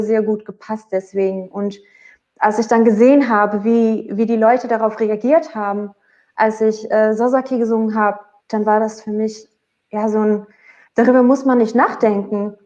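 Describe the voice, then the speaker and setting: A woman's voice: a little high, darkish tone. Dutch singer woman, dull call audio. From Netherlands. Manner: reflective, hesitant, searching for German words. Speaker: guest singer. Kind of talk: casual interview talk.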